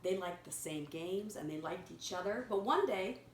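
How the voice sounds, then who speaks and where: A woman reading a picture story aloud to a young child, pausing to explain words simply and prompt repetition. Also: lowish voice, lively intonation. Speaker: children's story reader and teacher. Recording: echoey room with clear background noise.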